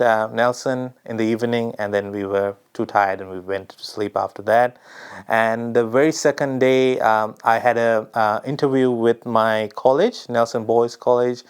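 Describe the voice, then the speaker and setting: A man's voice: mid-range voice, clean tone. A young man in his twenties from Sri Lanka talks casually to camera as a vlogger, filling his story with ums and uhs. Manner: chatty and personal, with many ums and uhs. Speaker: immigration advice vlogger.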